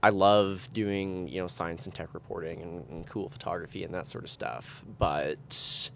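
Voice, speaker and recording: A man's voice: sits low, moderate pace, vocal fry, a bit bright, slight background noise. A Canadian man in his twenties chatting casually as a podcast guest, with a self-deprecating manner. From Edmonton, Canada. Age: 20s. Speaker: podcast guest, engineering student. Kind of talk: casual chat.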